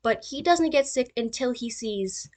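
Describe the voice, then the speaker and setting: A woman's voice: fairly high pitch, expressive, measured pace, very noisy. A woman giving casual theory commentary as a video essayist, thinking aloud in an informal, tentative way.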